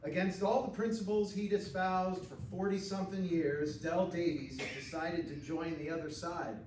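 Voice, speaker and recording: A man's voice: sits high, fairly even intonation, normal speed. An American man, a professional actor, narrating short fiction aloud at a live stage reading. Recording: roomy, distant stage recording, faint noise.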